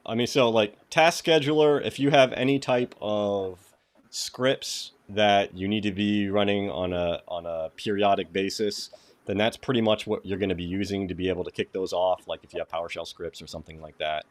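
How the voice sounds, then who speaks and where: A man's voice: lowish voice, steady tone, moderate pace. A man with a New England accent hosting a casual livestreamed tech tutorial, reading quiz questions aloud and greeting viewers by name. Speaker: host of a cybersecurity lab walkthrough.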